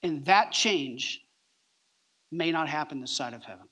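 A middle-aged man preaching a sermon on a church stage, emphatic, with deliberate pauses between short phrases. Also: a little high, lively intonation, bright, forceful tone, breathy edge. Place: large church auditorium, on stage.

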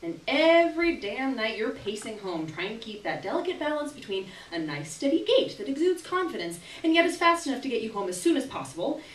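Animated, high-voiced woman reading a story aloud. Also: fairly quick, hardly any pauses. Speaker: performer reading a short story. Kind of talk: expressive literary reading to a live audience. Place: small indoor gallery reading event. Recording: roomy live venue, distant camera microphone.

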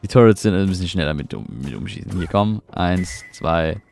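Middle-aged man, very low voice, gravelly, chatty, rambling, live gameplay talk, faint game music behind. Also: normal speed, a little mellow. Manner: chatty, rambling, answers chat, easily puzzled. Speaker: streamer. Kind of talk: casual live gameplay commentary.

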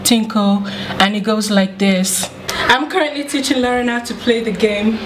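Teen schoolgirl presenter, relaxed and chatty, recorded in a library with light background hiss. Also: fairly high pitch, moderate pace. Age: teens. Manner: friendly, chatty, small slips and restarts. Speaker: student presenter. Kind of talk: casual school film presentation.

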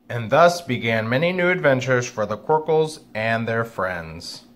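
Expressive man reading to children, lively pitch. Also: a little slow. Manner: expressive, exaggerated emphasis for children. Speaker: teacher reading a children's book aloud. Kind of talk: animated children's storybook reading. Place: living room, indoors.

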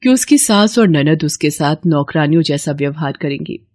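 A woman's voice: sits low, expressive, normal speed, darkish tone. A woman reading scripted narration for a moral story as the storyteller.

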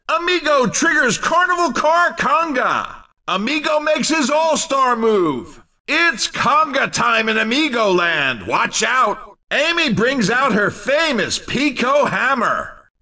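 An actor voicing a hyped-up, playful race commentator in a video game, with theatrical, exclamatory delivery. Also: male voice, very high-pitched, bright, forceful tone, exaggerated voice. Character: male hyped-up, playful arcade racing game announcer.

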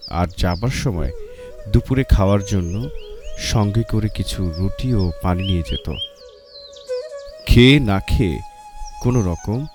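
A man narrating a scripted moral tale as a storyteller for children. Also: fairly low pitch. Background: music.

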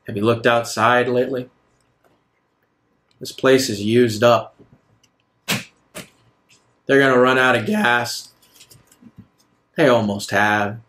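A man voicing a self-justifying, measured villain in his nineties, a wealthy recluse, in a tabletop game. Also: mid-range voice, steady tone, a bit bright. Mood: smug, grim.

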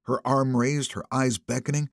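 A man narrating a thriller audiobook in the first person, with expressive, suspenseful phrasing. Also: mid-range voice, moderate pace, vocal fry, dry recording, studio quality.

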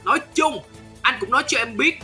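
Man acting a bright, dramatic cartoon chairman. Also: extremely high pitch, expressive, fairly quick, exaggerated voice. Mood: smug, preachy. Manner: dramatic, self-important. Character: proud chairman posing as poor job seeker. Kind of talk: amateur animated comedy skit dub. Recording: background music under the voice.

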